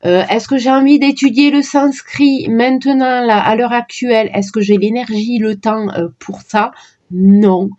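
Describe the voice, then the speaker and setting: A woman casually presenting her oracle card decks, talking in a hesitant way with many pauses and fillers. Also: on the high side, fairly even intonation, mellow, highs cut off. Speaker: card reader vlogger.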